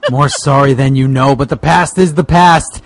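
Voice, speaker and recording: A man voicing a solemn, heroic boogeyman, halting and grandly melodramatic in a comic fan fiction reading. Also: medium pitch, no echo, natural voice. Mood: solemn resolve. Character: solemn heroic male boogeyman, vowing and tragic.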